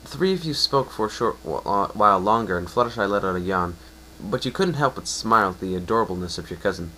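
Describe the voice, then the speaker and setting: A male narrator with a lowish voice reads fiction aloud in a dramatic storytelling style. It is an amateur reading, with some noise in the recording. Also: lively intonation, quick pace, bright, forceful tone, poor audio. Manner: stumbles and rereads phrases.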